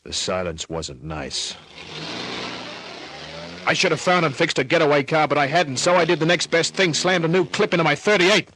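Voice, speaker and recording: A man's voice: a little high, expressive, normal speed, background noise, close to an everyday voice. A man playing a tough, wisecracking private detective, narrating an old-time radio crime drama in terse slang. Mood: urgent, hard. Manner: terse, slangy, hardboiled delivery. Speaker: lead actor and narrator. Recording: dry old radio recording.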